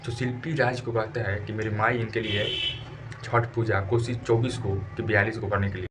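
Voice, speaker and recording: A man's voice: on the low side, flat delivery, normal speed, background noise. A man with a Bhojpuri accent presenting informal gossip news commentary in an emphatic, repetitive, scolding colloquial way.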